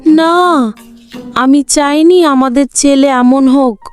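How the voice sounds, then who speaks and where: A woman's voice: sits high, slow, faint music behind, pitched above the performer's own voice. A woman voicing a distressed, complaining mother of a naughty boy in a cartoon. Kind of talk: cartoon dialogue.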